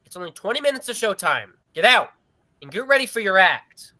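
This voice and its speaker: Man as a bright, exasperated circus boss. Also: high voice, very animated, fairly quick, heavily acted. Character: exasperated male circus manager. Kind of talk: 1940s radio acting.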